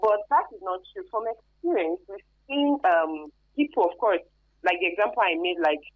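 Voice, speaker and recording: A woman's voice: mid-range voice, expressive, normal speed. A woman with a Nigerian accent explaining things emphatically and conversationally as a guest expert in a radio interview, with local Pidgin tags. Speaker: guest expert on human trafficking. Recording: thin phone-like band-limited radio sound.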